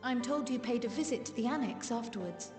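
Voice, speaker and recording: A woman's voice: fairly high pitch, brisk, close to an everyday voice. An actress playing a composed, confident young female scholar in a video game cutscene, formal and articulate. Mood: poised. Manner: formal, poised. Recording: reverberant hall, faint music behind.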